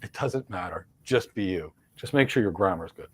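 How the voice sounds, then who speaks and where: A man's voice: sits low, animated, moderate pace, husky. A middle-aged man with a Philadelphia accent, hosting a live career Q&A and stressing points by repeating words. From United States. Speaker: host, career mentor. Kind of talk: live Q&A, answering career questions.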